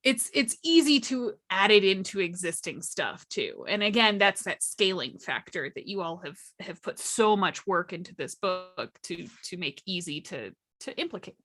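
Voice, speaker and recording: A woman chatting as a workshop panelist, explaining things casually and thoughtfully with pauses mid-sentence. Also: lowish voice, lively intonation, fairly bright tone. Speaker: workshop panelist and game storyteller.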